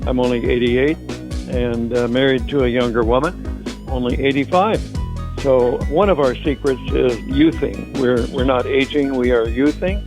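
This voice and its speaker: Man, 80s, caller, upbeat and halting, voicemail with music bed. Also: mid-range voice, fairly even intonation. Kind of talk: cheerful voicemail message. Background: music playing.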